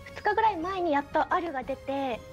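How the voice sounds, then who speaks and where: A woman with a high voice co-hosts a radio show. She chats casually yet stays polite and deferential, over faint background music. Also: lively intonation, a little fast, lo-fi sound. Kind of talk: casual chat.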